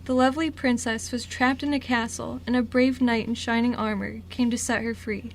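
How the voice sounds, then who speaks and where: A woman's voice: a little high, fairly even intonation, moderate pace. A woman reading scripted story narration for an amateur radio drama. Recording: noisy amateur radio recording.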